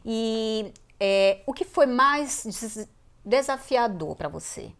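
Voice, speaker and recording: A woman's voice: fairly high pitch, lively intonation, slow pace, a bit bright. A woman hosting an interview, opening with a scripted introduction and then asking questions and giving short affirmations. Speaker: host.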